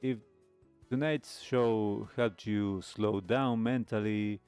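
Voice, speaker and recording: A man hosting a live stream radio show, speaking reflectively in a measured, halting way with pauses. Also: on the low side, fairly even intonation, a little mellow, clean tone, no echo. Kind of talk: reflective host talk, signing off a live stream. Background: music playing under the voice.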